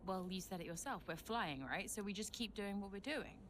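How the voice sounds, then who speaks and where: A woman's voice: medium pitch, brisk, a bit bright, slight background noise, close to an everyday voice. A woman playing an easygoing, confident young racing driver in a game cutscene. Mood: casual. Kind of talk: cinematic game cutscene acting.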